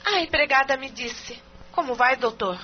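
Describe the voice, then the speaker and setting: A woman's voice: high-pitched, animated, moderate pace. A woman playing a reserved, weary wife in an audio drama, speaking in a formal, guarded way. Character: reserved, weary married woman facing divorce. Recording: dull recording with faint hum.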